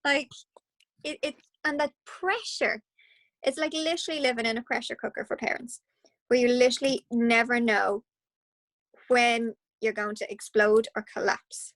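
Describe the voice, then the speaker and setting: An Irish woman speaking as a guest hypnotherapist, passionate and animated, with quick restarts. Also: a little high. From Ireland. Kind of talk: casual interview talk.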